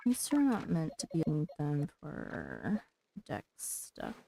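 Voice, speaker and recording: A woman's voice: low-pitched, wildly swooping pitch, slow pace, vocal fry, warm tone, slight background noise. A woman giving casual livestream commentary on a video game, muttering to herself as she plays.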